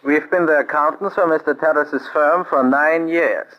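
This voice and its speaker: A man's voice: a little high, fairly even intonation, moderate pace, dull audio, lightly acted. An actor playing a businesslike male accountant who reports records precisely, in 1940s radio acting.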